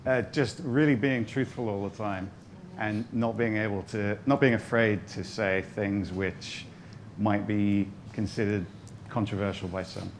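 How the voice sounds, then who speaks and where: A man, a startup founder, speaking halting and hesitant on a panel, restarting his phrases as he asks for advice. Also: on the low side, fairly even intonation, slight background noise. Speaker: startup founder pitching a patent law product. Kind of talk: panel Q&A on a stage.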